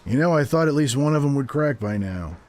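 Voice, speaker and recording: An actor playing a wry, unsentimental police detective with a dry, probing manner in a noir audio drama. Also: male voice, mid-range voice, animated, fairly quick, a little mellow, lightly acted. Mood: wry, impatient. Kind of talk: noir radio drama acting.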